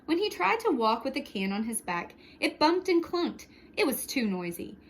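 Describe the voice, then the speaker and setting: Female storytime reader, high and very animated, expressive picture-book delivery, faint noise behind the voice. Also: normal speed. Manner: expressive, with hushed whispered sound words and asides. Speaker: storytime reader for young children. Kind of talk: read-aloud picture book narration with short asides.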